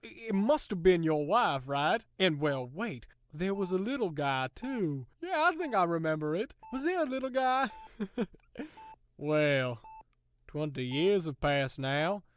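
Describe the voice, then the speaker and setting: Audio drama villain, male actor: sadistic man in charge, fairly high voice, mock-folksy, taunting, theatrical and smug. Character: smug sadistic male authority toying with a captive.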